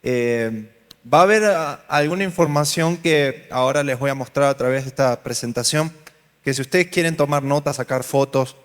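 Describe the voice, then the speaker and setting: A man's voice: medium pitch, a bit bright, clear, resonant tone. A man with an Argentine Spanish accent, teaching a workshop to a church audience in a joking, storytelling way and asking them questions. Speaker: pastor leading a church workshop. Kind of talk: lively workshop talk to a congregation. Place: large hall with an audience. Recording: hall reverb.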